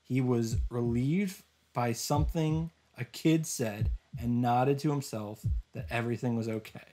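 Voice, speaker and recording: A man voicing a desperate, determined young hero, narrating a visual novel in an intense, halting, solemn way. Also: mid-range voice, warm tone, natural voice. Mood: solemn resolve.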